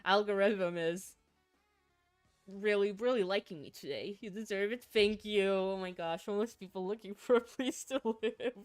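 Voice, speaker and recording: Finnish woman, native Finnish speaker, casual stream chat, mid pitch, giggly, trips over words, faint music under a dry mic. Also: normal speed, no echo. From Finland. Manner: giggly, stammers and repeats words. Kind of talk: casual livestream chat with viewers.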